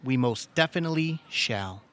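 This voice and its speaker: A man playing an earnest, hesitant, credulous brother in a comedic audio play, tentative but easily excited. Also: medium pitch, moderate pace, a little hiss or hum, lightly acted. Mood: affectionate. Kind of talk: comedic audio theatre acting.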